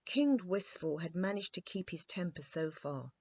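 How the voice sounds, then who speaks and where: A woman's voice: on the low side, expressive, normal speed, darkish tone, dry recording, muffled recording. A woman narrating a scripted children's bedtime story.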